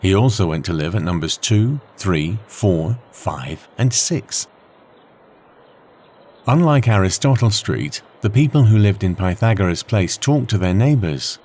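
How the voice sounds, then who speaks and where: A man reading a scripted children's story in a measured, expressive way, with deliberate pauses. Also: on the low side. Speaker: storybook narrator.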